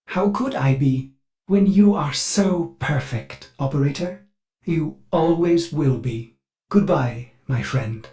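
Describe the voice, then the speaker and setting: A man's voice: a little high, a little mellow. A male actor voicing a devoted, anxious ship AI for a video game, speaking formally and theatrically through glitchy synthetic processing. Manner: theatrical, earnest, formal servile phrasing. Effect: synthetic glitchy robot voice processing. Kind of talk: video game character dialogue.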